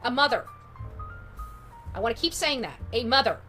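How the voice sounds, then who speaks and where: Higher-pitched woman doing dramatic true crime commentary. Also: animated, moderate pace, pushed and bright, faint music behind. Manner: dramatic, repeats phrases for emphasis.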